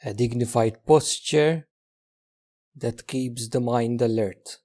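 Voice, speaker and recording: Husky, mellow man guiding meditation. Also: medium pitch. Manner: gentle, unhurried instruction with long pauses. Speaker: meditation guide. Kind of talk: guided meditation narration.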